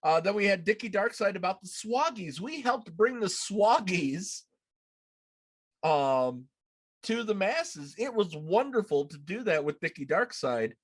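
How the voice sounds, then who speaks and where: A man's voice: high-pitched, big pitch swings, unhurried, slightly rough. A man in his thirties hosting a podcast, chatting casually on a live show from a room at home. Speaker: podcast host. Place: small room at home, indoors.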